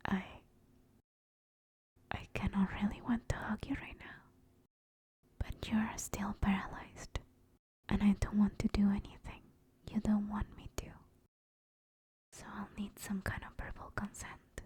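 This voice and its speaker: A woman playing a gentle, affectionate sleep paralysis demon in a soothing, reassuring whispered ASMR comfort roleplay. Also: mid-range voice, level tone, slightly rough. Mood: tender, reassuring. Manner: soft, slow, soothing, reassuring.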